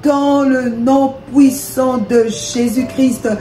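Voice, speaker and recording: A woman's voice: high voice, steady tone, extremely slow. A woman from DR Congo, a minister, leading prayer with fervent, repetitive declarations. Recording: echoey room with soft music.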